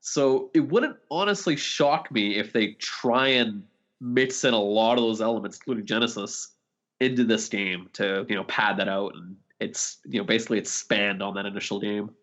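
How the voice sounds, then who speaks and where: Lowish-voiced man in casual podcast chat. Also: normal speed, fairly bright tone. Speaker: podcast co-host. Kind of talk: casual gaming discussion. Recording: slightly dull, lo-fi audio.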